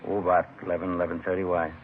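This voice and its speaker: Guy playing a chatty diner owner, old radio show, talks fast, tinny sound. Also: sits low, flat delivery, a little hiss or hum, natural voice. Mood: helpful, casual. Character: obliging, chatty male diner owner serving food. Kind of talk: 1950s radio drama acting. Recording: tinny vintage radio, faint music.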